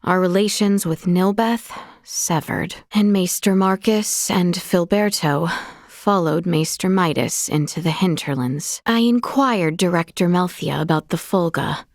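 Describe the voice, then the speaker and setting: A woman's voice: on the low side, unhurried, dry recording. A woman playing a young princess in a video game, speaking in a formal, courtly and earnest way, recorded dry in a studio. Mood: warm, earnest. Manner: formal, courtly, archaic phrasing. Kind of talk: scripted video game voice acting.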